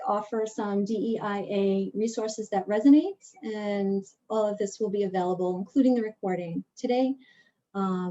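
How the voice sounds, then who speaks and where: A woman opening a panel on a video call, speaking haltingly with many fillers. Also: mid-range voice, dark tone, lo-fi sound. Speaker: event organizer.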